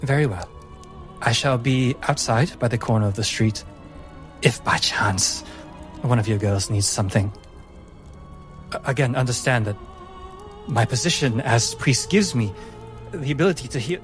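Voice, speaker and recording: A man voicing a soft-spoken, sly French priestess in a tabletop game, courteous and hesitant. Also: mid-range voice, normal speed, pauses often, faint music behind, lightly acted.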